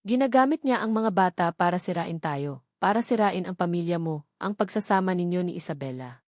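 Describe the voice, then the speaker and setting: A woman's voice: on the low side, expressive, brisk, a little mellow, dry recording, clean studio sound, close to an everyday voice. A woman narrator voicing a manipulative older mother who pleads theatrically and plays the wounded victim in a story. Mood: tearful pleading. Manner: pleading, theatrical, guilt-tripping.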